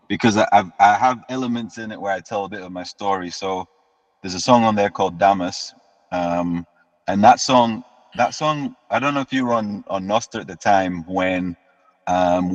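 A man, telling his story as an interview guest in a relaxed way and often repeating words as he restarts. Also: sits low. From United Kingdom. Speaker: guest musician. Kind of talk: casual interview chat. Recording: dull lo-fi sound with long reverb.

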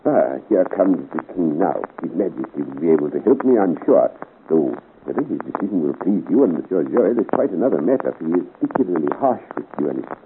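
An actor playing a shrewd, deferential French royal police chief with formal, measured diction in a 1950s radio serial. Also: male voice, low-pitched, animated, narrow, tinny audio. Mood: troubled. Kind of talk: 1950 radio serial acting. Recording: scratchy, thin, noisy 1950s radio recording.

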